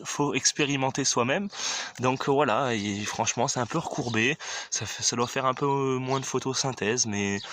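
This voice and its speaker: An enthusiastic man with a mid-range voice talks casually and emphatically as he hosts a garden tour. His commentary is unscripted and informal. The outdoor recording carries clear background noise. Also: medium pitch, sharp tone. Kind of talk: casual improvised vlog commentary.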